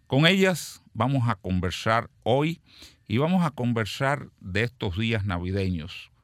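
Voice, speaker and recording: Deep-voiced formal radio host. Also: male voice, a bit bright, no echo, clean studio sound. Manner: formal, measured, with many "eh" fillers. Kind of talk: community radio panel.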